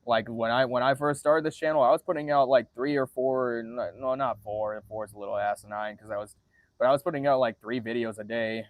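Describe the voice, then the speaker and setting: The monotone guy livestreaming from a dry-sounding spot, stumbling back over his phrases and cursing as he answers the chat. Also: mid-range voice, no echo. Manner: halting, repeats and restarts phrases; frequent swearing.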